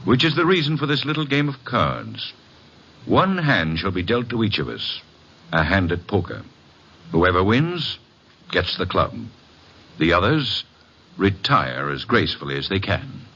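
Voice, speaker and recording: An actor playing a suave club owner with formal, precise phrasing, in old-time radio drama style. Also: male voice, deep voice, big pitch swings, measured pace, bright, forceful tone, breathy edge, full character voice. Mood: suave, commanding. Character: suave, formal male club owner hosting a card game. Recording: dull, hissy vintage radio recording.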